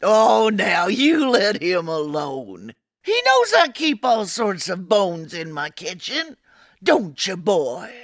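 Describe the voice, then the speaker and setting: A woman's voice: mid-range voice, wildly swooping pitch, slow pace, no echo, clean studio sound, heavily acted. A woman playing a kindly old Gold Rush cook with folksy, rustic frontier speech in a radio-style audio drama. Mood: warm, folksy.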